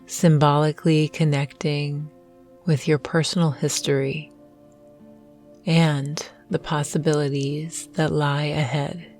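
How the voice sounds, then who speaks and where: Female meditation guide, low register, unhurried and soothing, music underneath. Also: level tone. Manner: soothing, gentle, measured with long pauses. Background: soft meditation music.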